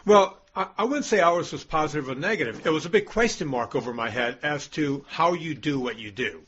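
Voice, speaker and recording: A man with a gravelly voice hosting a podcast, joking bluntly and casually with his co-host and guest. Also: on the high side, animated, brisk, a bit bright, a little hiss or hum. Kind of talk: casual banter. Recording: dull lo-fi podcast audio.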